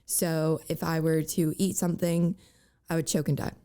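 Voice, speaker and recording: Raspy young woman with voice cracks. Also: sits low, flat delivery, warm tone. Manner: halting, many fillers and "like"s. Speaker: guest. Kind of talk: candid personal interview.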